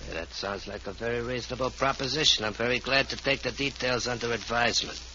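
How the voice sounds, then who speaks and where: An actor playing a menacing gang boss with slangy, mock-courteous streetwise phrasing in an old-time radio crime drama. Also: male voice, mid-range voice, quick pace, bright, forceful tone. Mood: quietly menacing. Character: male gangland boss, folksy menacing tough guy. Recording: muffled, hissy old radio recording.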